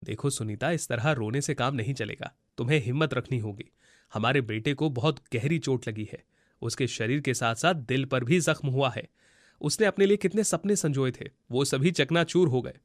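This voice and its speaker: A man voicing a troubled, poor farmer and gentle father in a cartoon story, with an earnest, pleading manner. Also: mid-range voice, moderate pace, dry recording, studio quality, close to an everyday voice. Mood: consoling.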